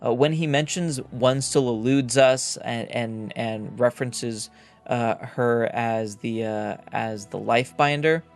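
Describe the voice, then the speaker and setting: A man's voice: mid-range voice, takes it slowly, creaky, faint music behind. A man giving casual, explanatory commentary as a gaming video host, feeling his way through his phrases with some repetition. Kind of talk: casual game lore commentary.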